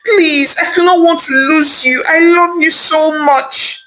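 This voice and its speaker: Anxious begging husband, high-pitched as a woman puts him on. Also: steady tone, measured pace, sharp tone, soft background music, poor audio. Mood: pleading. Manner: pleading, repeats words. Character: anxious young husband pleading with his wife.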